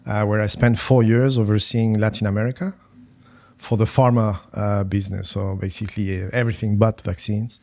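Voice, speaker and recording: Middle-aged male podcast guest from France, lowish voice, stop-start delivery full of repeats. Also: flat delivery, darkish tone. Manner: halting, many repeated words and fillers. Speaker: finance executive guest. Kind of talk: conversational podcast interview.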